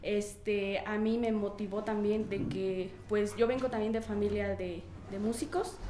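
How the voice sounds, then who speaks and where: A woman's voice: mid-range voice, steady tone, unhurried, dark tone. A woman with a Mexican accent talking about her own past in an interview. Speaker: musician interviewee. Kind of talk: personal recollection in interview. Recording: echoey room, faint music underneath.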